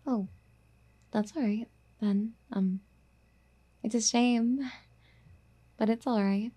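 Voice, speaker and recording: Woman voicing a clingy obsessive girlfriend, very dark mellow tone, breathy and whispery, sweetly coaxing, possessive, intimate ASMR roleplay. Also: on the high side, steady tone, takes it slowly.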